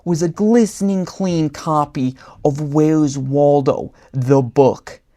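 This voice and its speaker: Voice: medium pitch, dark tone. A storyteller reads a humorous horror story aloud in a dramatic manner, acting out the characters. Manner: dramatic, acts out character voices. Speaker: storyteller and writer.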